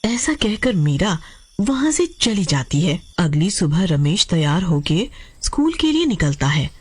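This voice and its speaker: A woman's voice: fairly low pitch, very animated, measured pace, darkish tone, breathy edge. A woman narrating a scripted story as a storyteller. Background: music.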